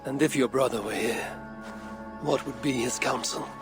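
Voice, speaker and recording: A steadfast Norse warrior acted by a man in a dramatic game scene. His mid-pitched voice is measured and solemn, offering earnest counsel with calm resolve, with music underneath. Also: close to an everyday voice. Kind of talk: dramatic video game acting.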